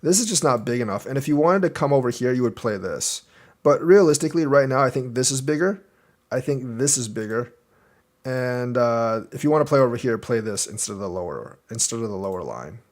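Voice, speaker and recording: Young adult male Go teacher, casual game review, thinks aloud move by move, mid-range pitch. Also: animated, a little fast. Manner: thinks aloud, explaining moves step by step.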